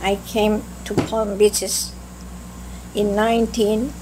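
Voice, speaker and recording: An elderly woman from Greece with a Greek accent, slowly recalling her life in an oral history interview, with halting restarts. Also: medium pitch, little pitch movement. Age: older. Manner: slow, halting recollection with restarts. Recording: noisy tape with hum.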